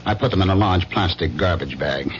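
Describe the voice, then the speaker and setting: An actor in a radio drama playing a haunted man who coldly recounts his crime in slow, deliberate, clipped phrases. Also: sits low, slight background noise, lightly acted. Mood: cold resolve. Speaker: lead actor and narrator. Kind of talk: radio drama first-person narration. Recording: muffled old radio drama recording, music behind.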